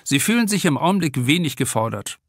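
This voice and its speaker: A man's voice: fairly high pitch, big pitch swings, fast, dry recording, clean studio sound. A man narrating a novel as an audiobook, reading scripted prose as its author.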